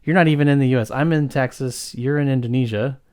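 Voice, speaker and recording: A man's voice: mid-range voice, steady tone, fast, darkish tone, smooth, dry recording, clean studio sound. A man hosting a casual interview, speaking informally and effusively with hesitant, conversational phrasing. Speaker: interview host, company founder. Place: remote video call from Texas.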